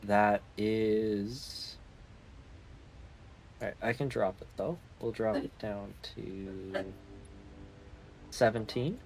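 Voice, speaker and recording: Unhurried male streamer, lowish register, relaxed chat, faint hiss on the mic. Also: rough recording. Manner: easygoing, hums while fiddling with settings. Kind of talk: casual gaming chat.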